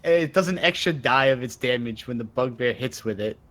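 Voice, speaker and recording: A man's voice: medium pitch, normal speed, fairly bright tone. A man chatting casually as a player in a tabletop roleplaying game.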